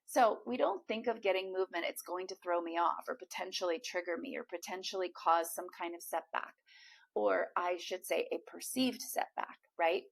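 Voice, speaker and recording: A woman speaks as a manifestation coach straight to her viewer, encouraging and chatty. Her voice is slightly hoarse and froggy, with a gravelly fry running through it. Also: fairly low pitch, moderate pace. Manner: encouraging, addresses viewer directly with fillers. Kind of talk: direct-to-camera coaching talk.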